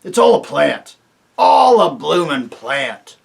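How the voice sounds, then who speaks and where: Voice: medium pitch, big pitch swings, takes it slowly, creaky, exaggerated voice. A man voicing a group of mocking male dwarfs, chanting in a storybook reading. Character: crowd of jeering male dwarfs.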